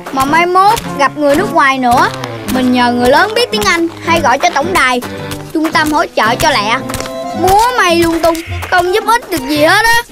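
An excitable little schoolkid in a children's cartoon, very high in pitch. The Southern Vietnamese speech is whiny and dramatic, full of exclamation. Music plays clearly behind the voice. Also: moderate pace. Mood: exasperated. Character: excitable, scheming, lazy chubby little schoolkid, nicknamed Big Belly.

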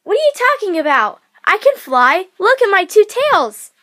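A woman voicing an eager, innocent young boy fox from a cartoon, in an excitable, childlike way. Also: very high voice, normal speed, voice pushed up, heavily acted. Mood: cheerful, excited. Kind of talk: cartoon character audition.

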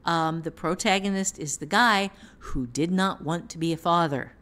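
A woman with a slightly low voice talks as a writing teacher on a podcast. She explains in a casual way, going step by step and leaning on examples. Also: normal speed, fairly bright tone.

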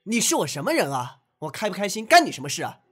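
A man's voice: high voice, huge pitch swings, fast, fairly bright tone, breathy edge, faint music behind, full character voice. An actor playing a proud, petulant young nobleman with haughty, old-fashioned phrasing in an audio drama. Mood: peevish. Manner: haughty, archaic turns of phrase.

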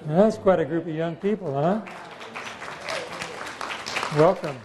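A man's voice: fairly high pitch, measured pace, crowd noise, background noise. An older man, a pastor, introducing a group and leading prayer in a church sanctuary with a handheld mic, in a jovial, joking way.

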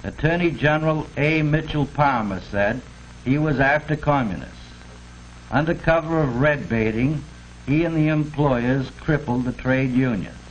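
A man's voice: mid-range voice, unhurried. A man reading scripted 1940s-style labor film narration in a formal, declamatory manner. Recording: noisy old archival film soundtrack.

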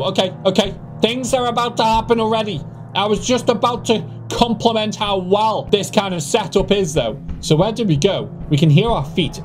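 A young man giving casual, animated horror game commentary in a nervous, joking ramble. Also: high-pitched. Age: young adult. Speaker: gaming video host. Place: small room with foam panels at home. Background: eerie game music with organ.

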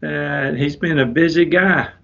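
An elderly man with a bright, fairly high voice talks slowly as a guest. He is polite and a little halting, heard over a dull video call. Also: vocal fry. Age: 70s or older. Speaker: company head, guest. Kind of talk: casual chat on a live show. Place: wood-panelled office, indoors. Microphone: tablet at arm's length on video call.

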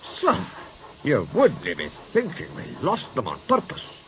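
A man's voice: mid-range voice, huge pitch swings, measured pace, creaky, noisy background, exaggerated voice. A man voicing a sly, mock-innocent twin steam engine with a Scottish accent in a children's story. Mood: pained innocence.

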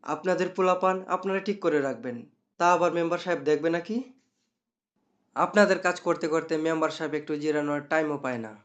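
A man's voice: a little high, fast talker, a bit bright, close to an everyday voice. A man playing an officious, talkative assistant to a village councilman in a cartoon. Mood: dismissive. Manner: argumentative, talkative. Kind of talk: cartoon dialogue.

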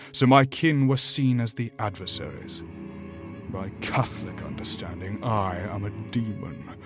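An actor playing a grave, ancient demon from a higher dimension in a horror audio drama, speaking formally and deliberately. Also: male voice, close to an everyday voice. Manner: formal, deliberate, solemn, eloquent.

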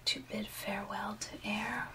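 A woman's voice: medium pitch, flat delivery, a little slow. A woman in her thirties whispering breathily in a soothing, instructive ASMR massage role play. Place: small indoor room. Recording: clearly noisy, with rubbing sounds.

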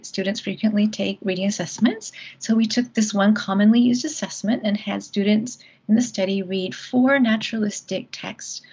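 Hesitant woman lecturing, gravelly and husky. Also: mid-range voice. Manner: hesitant, many ums and restarts. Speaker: guest lecturer. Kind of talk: academic lecture over video call. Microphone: video call. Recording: dull lo-fi video call audio.

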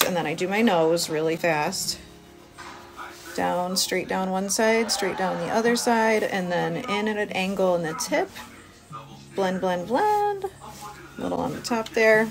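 A woman in her thirties giving a casual, chatty makeup tutorial, explaining informally as she works. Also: mid-range voice. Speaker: beauty vlogger. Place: small cabin room by a window. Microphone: phone at arm's length. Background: music.

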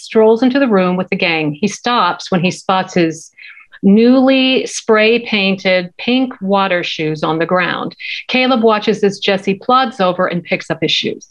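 A woman's voice: medium pitch, poor audio. A middle-aged woman reading stage directions from a script as narration. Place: home office, video call.

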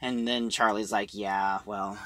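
A man giving a casual, halting recap of a story as a reviewer, in an informal way. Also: fairly low pitch, little pitch movement, pushed and bright, dry recording.

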